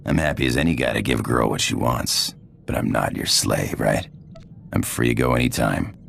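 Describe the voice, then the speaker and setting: A man playing a cocky, roguish space pirate in a video game, with a gravelly drawl, casual slang and a flirtatious swagger. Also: moderate pace, fairly bright tone, a little hiss or hum, full character voice. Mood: teasing, defiant. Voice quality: gravelly, very deep voice. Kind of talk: video game character acting.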